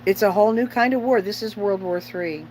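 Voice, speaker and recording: A woman's voice: mid-range voice, a little fast, darkish tone, slight background noise, low-quality recording. A middle-aged woman chatting casually on a livestream as host, rambling and opinionated, bluntly repeating herself for emphasis.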